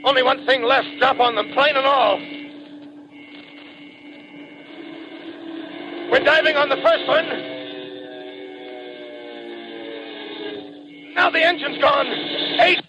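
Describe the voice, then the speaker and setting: An actor playing a resolute military bomber pilot, reporting in a clipped, formal way in 1930s radio drama style. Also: male voice, very high-pitched, steady tone, a little fast. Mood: desperate. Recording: noisy muffled 1930s radio, engine drone behind.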